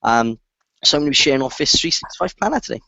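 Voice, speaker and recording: A man's voice: mid-range voice, expressive, fast talker, gravelly, sharp tone, no room sound. A Welsh man, a guest presenter, chatting in a jokey, easygoing way on a video call. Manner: chatty and jokey, laughs easily. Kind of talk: casual video call chat. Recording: dull lo-fi video call audio.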